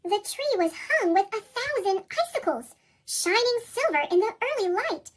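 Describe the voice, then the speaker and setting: Woman, chipmunk pitch-up effect, tiny squeaky-high voice, animated swings, children's story narration. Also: a little slow. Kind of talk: scripted read-aloud storybook narration.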